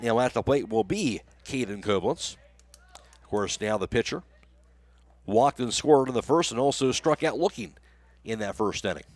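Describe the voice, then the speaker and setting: An American man doing live radio play-by-play commentary of a baseball game. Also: mid-range voice.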